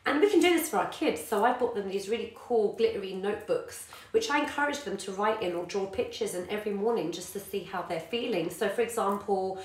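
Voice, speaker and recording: A woman's voice: mid-range voice, fairly quick, hardly any pauses. A woman, a yoga teacher and parent chatting casually to live viewers with many ums. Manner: warm encouraging, many ums, greets viewers by name. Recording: echoey room.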